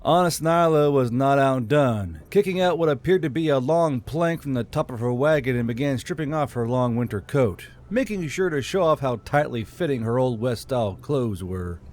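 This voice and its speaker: Mid-pitched man narrating a fantasy story. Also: expressive, normal speed, few pauses, darkish tone. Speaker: channel host and narrator. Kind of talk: scripted fantasy audiobook narration.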